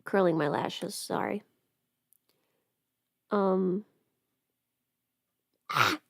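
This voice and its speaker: A woman with a medium-pitched voice chats casually as a livestream host. She rambles and trails off, half talking to herself while her hands are busy. The sound is dry, with hardly any room to it. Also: extremely slow, no echo. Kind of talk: casual chat while doing makeup.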